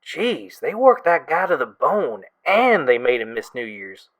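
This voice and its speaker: A man playing a wry, exasperated but affectionate young friend in an audio drama, talking casually with a gently complaining manner. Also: medium pitch, big pitch swings, measured pace.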